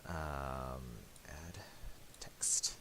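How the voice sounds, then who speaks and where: A man giving a casual, unscripted software tutorial, thinking aloud hesitantly and a little self-deprecatingly. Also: very deep voice, steady tone, very slow. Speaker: tutorial presenter. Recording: hissy home recording with mouse clicks.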